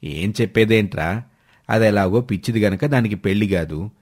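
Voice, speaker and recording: A man voicing a blunt, overbearing older uncle who scolds colloquially in an animated village story. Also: fairly low pitch, normal speed, voice pushed down, natural voice. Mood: scolding, pushy.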